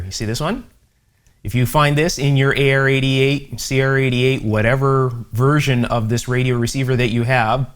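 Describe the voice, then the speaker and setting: A man giving an unhurried, methodical hands-on electronics repair demonstration, with conversational asides as he explains each step. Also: mid-range voice. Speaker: host, electronics repair instructor. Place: indoor electronics workshop.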